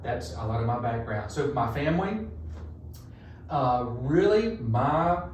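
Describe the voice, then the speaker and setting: A middle-aged man with a North Carolina Southern accent talks in a folksy, animated way, spinning stories with a drawl. He sits some distance from the mic, so his voice rings around a very echoey room. A clear layer of background noise runs under him. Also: mid-range voice, unhurried. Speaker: marketing agency co-founder, guest. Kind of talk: conversational podcast interview. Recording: roomy echo, distant mic, noisy.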